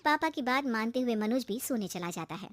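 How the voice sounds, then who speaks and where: A woman's voice: fairly high pitch, expressive, a little fast. A woman storyteller reading a scripted narration for a cartoon moral story.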